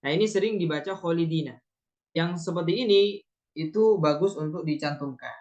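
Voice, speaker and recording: A man from Indonesia giving a training lecture on Quran reading, his voice sitting a little higher than most men's. He explains things step by step and keeps dropping short affirming fillers between points. The recording sounds dull and muffled, with the highs rolled off. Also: normal speed, a little mellow. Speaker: Quran recitation teacher. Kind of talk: lecture at a teacher training course.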